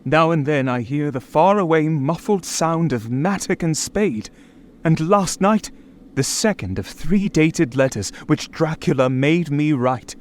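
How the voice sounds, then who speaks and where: An actor playing an anxious, imprisoned gentleman recounting horrors in a dramatic vintage radio style. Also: fairly high pitch, expressive, unhurried, slight background noise. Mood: grim, fearful. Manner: dramatic, haunted, emphatic. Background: dramatic music.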